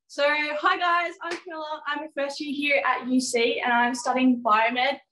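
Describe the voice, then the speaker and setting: A woman's voice: sits high, little pitch movement, moderate pace, sharp tone, rough recording. A young Australian woman giving a casual, chatty tour as a student guide. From Ballarat, rural Victoria, Australia. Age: young adult. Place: small dorm room and corridor. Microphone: phone at arm's length.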